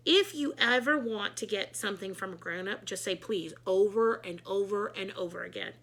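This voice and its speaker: A woman reading a children's book aloud in an animated, expressive storytelling manner with dramatic emphasis. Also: mid-range voice, normal speed. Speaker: read-aloud storyteller, teacher.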